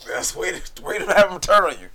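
A man's voice: sits high, expressive, brisk, sharp tone. A man hosting a podcast, interviewing a comedian in a laid-back, casual way. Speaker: podcast host.

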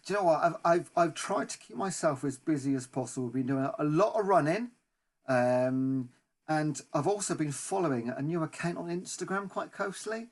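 Higher-voiced man chatting as podcast co-host. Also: expressive, normal speed. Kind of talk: casual football podcast chat. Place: remote online recording.

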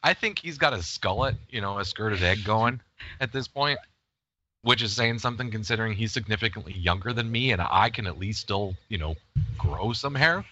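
A middle-aged man hosts a casual sports podcast with a joking, self-deprecating air. His voice is fairly low and forceful, with very lively intonation. The recording is a little dull and lo-fi. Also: normal speed, slight background noise. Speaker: podcast host.

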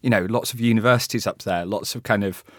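A man's voice: fairly low pitch, quick pace, a bit bright, no echo, clean studio sound. Thoughtful man with a Yorkshire accent. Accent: West Yorkshire. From England. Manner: hesitant, conversational, thoughtful. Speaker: guest. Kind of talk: panel discussion.

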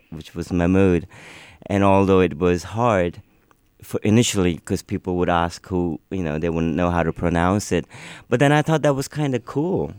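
A man's voice: low-pitched, darkish tone, clear, resonant tone, no room sound. A middle-aged man whose first language is Urdu, chatting in an interview as a guest musician and rambling with many restarts. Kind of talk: casual interview chat.

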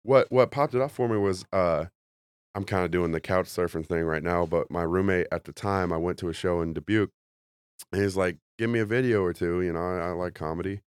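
Congested comic with a very low voice, loose and jokey, recorded dry and close. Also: male voice, brisk, smooth, dry recording. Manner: rambling, jokey storytelling. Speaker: comedian guest. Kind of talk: casual podcast chat.

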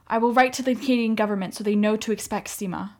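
A woman's voice: mid-range voice, fast talker, close to an everyday voice. A woman acting in a radio play as an anguished, defiant young Armenian mother, pleading with feeling. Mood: resolute, sorrowful. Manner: impassioned, pleading.

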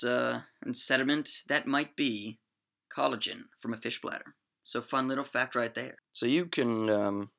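Bright-voiced man chatting casually. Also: medium pitch. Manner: chatty, joking asides, frequent fillers. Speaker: podcast host. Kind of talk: casual educational monologue.

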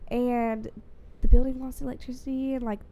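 A young woman talks in an easygoing, casual way, her voice mellow and a little stuffy from congestion. When she is sure of something she gets worked up and her pitch jumps. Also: normal speed, slight background noise. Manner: animated, rises sharply in pitch when emphatic. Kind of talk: casual chat.

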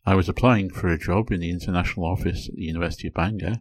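A man from England hosting a podcast, explaining points one by one in a measured, explanatory way. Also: low voice, fairly even intonation, breathy edge. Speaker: podcast host. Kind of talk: solo educational podcast talk.

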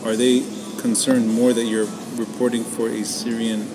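A man's voice: medium pitch, level tone, moderate pace, background noise. A man hosting a podcast interview, engaged, with frequent short affirmations. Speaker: podcast host. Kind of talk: conversational interview.

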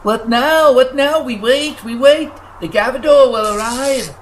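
High, whining little sky creature, easily scared, repeats himself. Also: male voice, a little slow, slight background noise, rough recording. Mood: evasive. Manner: repeats his own words, high and wavering. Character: fearful floating male creature, whiny and hysterical. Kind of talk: audiobook character voice.

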